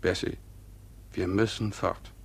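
Grave farmer with a creaky low voice. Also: male voice, flat delivery, slow, heavily acted. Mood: urgent, determined. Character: resolute, fatalistic farmer convinced of coming doom. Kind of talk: German radio play acting.

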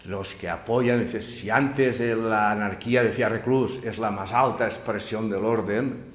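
A man's voice: fairly low pitch, steady tone, moderate pace. A man giving a lecture at a library event, emphatic and dotted with tag questions. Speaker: speaker giving a talk. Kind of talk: public lecture. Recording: very echoey room, faint background noise.

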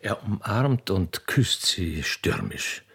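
A man's voice: sits low, very animated, slow, vocal fry, a bit bright, husky. A man with an Austrian accent reading scripted stage narration for a radio play.

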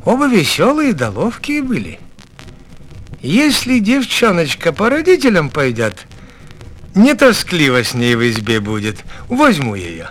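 A man's voice: a little high, huge pitch swings, unhurried, a bit bright, voice pushed down, heavily acted. A man voicing a kindly, cheerful old village hunter in a storybook tale, with a folksy, playful, plain-spoken manner. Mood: thoughtful. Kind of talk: storybook fairy tale reading.

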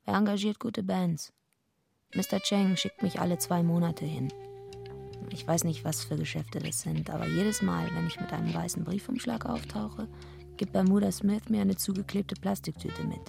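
A streetwise tough girl telling her story in a radio play, low-ish gravelly voice, deadpan wry. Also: moderate pace, music in the background. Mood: wry, matter-of-fact. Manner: dry, matter-of-fact. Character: tough, streetwise young woman wrestler, wry narrator. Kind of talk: first-person radio drama narration.

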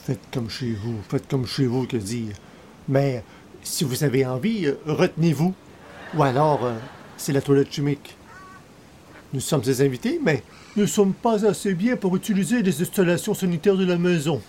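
A man's voice: on the high side, normal speed, warm tone, a little hiss or hum, natural voice. A man playing a polite but grumbling everyman with a Quebec French accent, colloquial and hesitant, in radio comedy style. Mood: wry grumbling. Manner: hesitant, colloquial, sardonic asides. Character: everyman newspaper columnist, polite but wryly grumbling guest. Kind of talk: old-time radio comedy acting.

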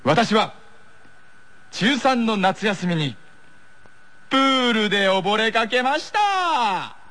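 A man's voice: sits high, sing-song, slow pace, bright, forceful tone. A man appearing as a radio show guest, chatting casually during a game.

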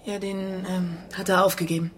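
An actress playing a secretive, long-suffering banker's wife in a radio crime drama, guarded and pointed, with a slightly husky voice. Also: female voice, on the low side, little pitch movement, normal speed, a bit bright. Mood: weary, defensive.

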